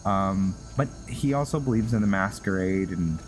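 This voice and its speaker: A man doing casual role-play commentary on a video game, halting and musing, often trailing off. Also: low-pitched, slight background noise. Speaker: gameplay host, role-player.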